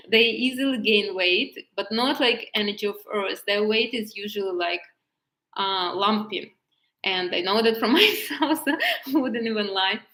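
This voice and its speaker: A woman's voice: mid-range voice, a bit bright. Chatty laughing woman in an echoey room. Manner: chatty, laughs often, many fillers and restarts. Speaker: femininity coach. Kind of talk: casual teaching talk, answering live chat. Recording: roomy echo.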